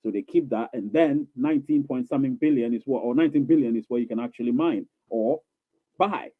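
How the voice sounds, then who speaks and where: A man's voice: medium pitch, fairly quick, dry recording. A man hosting a casual live stream, answering viewer questions in a rambling, reassuring way, repeating himself with many fillers. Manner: reassuring, coaxing. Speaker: livestream host reading viewer questions. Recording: tinny phone-like dry sound.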